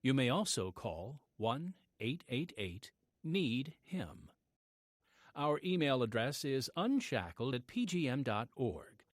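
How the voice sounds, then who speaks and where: A man reading a scripted radio announcement as program host, with an earnest, measured delivery. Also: mid-range voice, very animated, no room sound, studio quality. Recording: music bed behind the voice. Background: music.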